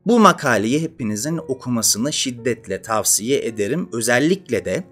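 A man's voice: medium pitch, sing-song, moderate pace, fairly bright tone, husky, faint music behind. A man, hosting a conversational educational talk on social science with emphatic phrasing. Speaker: host of a social science channel. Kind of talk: conversational educational lecture to camera. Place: indoor studio with dark curtain backdrop.

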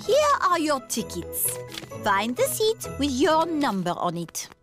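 A woman voicing a brisk, orderly gazelle schoolteacher in a cartoon, with a sing-song instructing manner. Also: high voice, wildly swooping pitch, over music, heavily acted. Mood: brisk, bossy.

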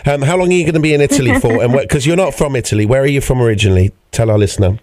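A man's voice: medium pitch, very fast, a little mellow, dry recording. A man hosting a casual radio interview, chatty and given to repeating himself. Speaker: radio show host.

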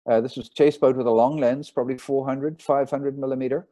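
A man, a sailing photographer, giving a relaxed, rambling slideshow talk over a video call. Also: mid-range voice, a little fast, no echo. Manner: rambling, relaxed, with fillers and restarts. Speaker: marine photographer giving a lecture. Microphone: video call mic.

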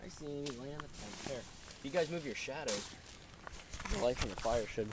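Fast-talking young man at a campfire. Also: mid-range voice, animated. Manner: quick, chatty, says "like" a lot. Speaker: camper filming with friends. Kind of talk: casual chat. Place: outdoors at a campfire at night. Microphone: handheld camera. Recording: handheld camera with clear outdoor noise.

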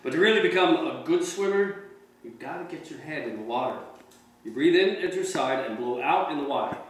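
A man's voice: a little high, lively intonation, a little fast, natural voice. A middle-aged man reading the part of a kindly, encouraging American tourist in his forties in a staged play reading. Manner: casual, reassuring. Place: wood-paneled mansion room. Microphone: distant camera microphone. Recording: very echoey room, distant mic, faint noise.

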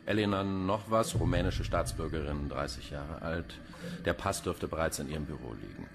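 An actor playing a cynical, erudite middle-aged police pathologist in a German radio crime drama, wordy and dryly pedantic. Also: male voice, a bass voice, lively intonation, moderate pace, gravelly, over music, heavily acted. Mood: clinical, detached. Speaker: forensic pathologist character.

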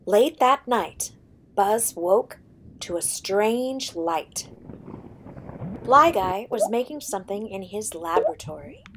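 A woman's voice: mid-range voice, expressive, slow, a bit bright, low-quality recording. A woman narrating a scripted children's story as a storybook reader. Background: faint noise, sound effects.

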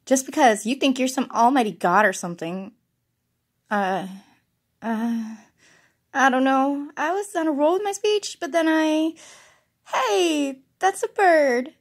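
Woman acting a high-pitched cartoon magical heroine. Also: lively intonation, measured pace. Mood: indignant, rambling. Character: excitable, clumsy young heroine with magic water powers. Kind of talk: amateur cartoon voice audition.

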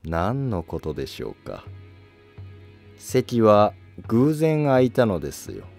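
A man playing a calculating, courteous court noble in a historical drama, polite, measured and self-assured. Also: fairly low pitch, big pitch swings, vocal fry, darkish tone, faint music behind, heavily acted. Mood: composed, resolute.

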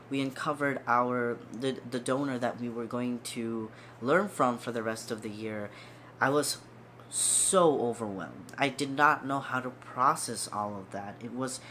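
Young man, twenties, stuffy nose, earnest, emotional, mid pitch, close camera mic, small room, faint background noise. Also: sharp tone. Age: 20s. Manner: earnest, emotional, repeats words for emphasis. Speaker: medical student vlogger. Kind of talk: casual talk to camera. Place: small room at home, indoors. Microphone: seated facing camera at close range.

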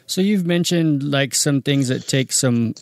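A man of middle pitch asks relaxed interview questions in loose, casual phrasing with a few fillers. His voice is close and dry, with no room sound. He keeps an easy conversational pace. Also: a little slow, dry recording. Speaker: podcast interviewer.

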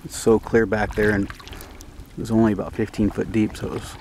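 A man's voice: lowish voice, normal speed. Man, casual outdoor vlogger, rambling and easygoing, camera mic outdoors with faint background noise. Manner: relaxed, rambling, many fillers. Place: outdoors on a lake in a canoe.

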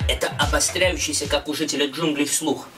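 A man's voice: on the high side, fairly even intonation, fairly quick, sharp tone. Male narrator, middle-aged, theatrical and emphatic, slightly off-mic over a music bed. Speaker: author narrating own story. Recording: music behind a slightly distant voice.

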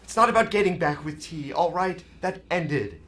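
A man's voice: fairly high pitch, lively intonation, normal speed, fairly bright tone. An actor playing a resigned, earnest man on the run, pleading with his lover in an audio drama. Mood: earnest, confessional.

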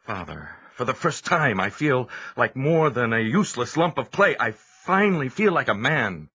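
Earnest young farm lad, audio drama, mid-range voice with animated intonation. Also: male voice, medium pitch, moderate pace, noisy background. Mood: earnest, resolute. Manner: earnest, emotive. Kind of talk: audio drama acting and first-person narration.

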